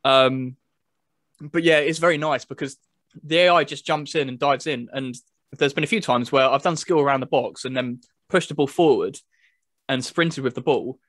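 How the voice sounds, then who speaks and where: A man's voice: medium pitch, normal speed, fairly bright tone, no room sound, clean studio sound. A man hosting a casual video chat about games, talking as a podcast presenter. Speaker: podcast host presenting a game review video.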